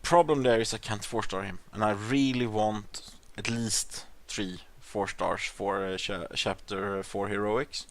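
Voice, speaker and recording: Swedish-accented man, lowish voice, rambling gameplay talk. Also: unhurried, few pauses, fairly bright tone, a little hiss or hum. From Sweden. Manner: rambling, hesitant, many fillers and restarts. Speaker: gaming channel host. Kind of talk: casual gameplay commentary.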